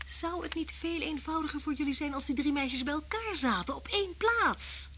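Earnest detective's wife. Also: female voice, high-pitched, normal speed, pushed and bright. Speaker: actress in a radio play. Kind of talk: vintage Dutch radio drama acting. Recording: slightly noisy old radio recording.

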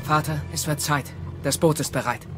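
A man playing an earnest, quick-thinking teenage Viking boy who leads, hesitant yet decisive, in a cartoon audio drama. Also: on the high side, fairly even intonation, fairly bright tone, soft background music, close to an everyday voice. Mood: determined.

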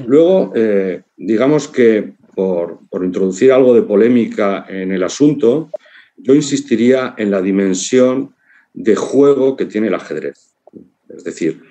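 Low, mellow Spanish man, reflective. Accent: Spain. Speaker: congress speaker, writer and philosopher. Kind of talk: informal conference talk. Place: living room at home, on a video call.

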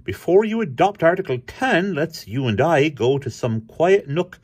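A man's voice: fairly high pitch, lively intonation, normal speed, darkish tone, husky, pitched above the performer's own voice. A man voicing a fussy, genial male cartoon police dog with a proper, persuasive manner. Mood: coaxing.

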